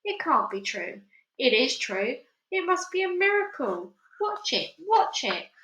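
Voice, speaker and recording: A shrill, high-pitched storybook aunt played by a woman reader, greedy and bossy. She yells and snaps with wild excitement, her bright voice ringing in an echoey room. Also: a little slow, dull audio, exaggerated voice. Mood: shrieking excitement. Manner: yelling, snapping exclamations.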